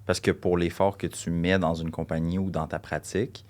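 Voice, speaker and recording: A man's voice: deep voice, level tone, normal speed, a little mellow. A young man with a Québécois accent co-hosting a podcast, blunt and joking, swearing freely as he talks about running a coaching business. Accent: Québécois French. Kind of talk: casual chat.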